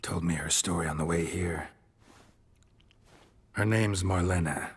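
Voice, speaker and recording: An actor playing a stoic, weary male monster hunter in a video game, terse and understated, with a breathy, gravelly near-whisper. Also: low voice, fairly even intonation, unhurried, exaggerated voice. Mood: grave storytelling. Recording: loud music behind the voice.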